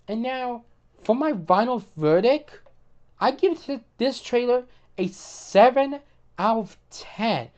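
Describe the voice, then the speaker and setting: A woman hosting casual gaming commentary, opinionated, often repeating and restarting words, her voice getting hoarse. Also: mid-range voice, a little mellow. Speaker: gaming video host.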